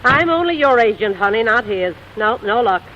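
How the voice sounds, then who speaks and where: Wisecracking agent, high animated voice, sardonic, 1940s radio, muffled sound. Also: female voice, normal speed, a little hiss or hum. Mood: dry, sardonic. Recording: muffled 1944 radio broadcast.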